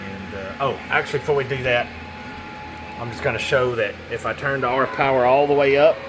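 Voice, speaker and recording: A man's voice: medium pitch, fairly quick, noisy background. A man giving a casual, rambling hands-on product demo, muttering to himself as he goes. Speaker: radio seller demonstrating a CB radio.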